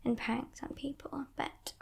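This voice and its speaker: A woman voicing a playful, menacing female demon in a breathy, teasing whisper for an ASMR roleplay. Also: close to an everyday voice. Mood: coaxing, menacing. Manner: teasing, condescending, softly sinister, unhurried.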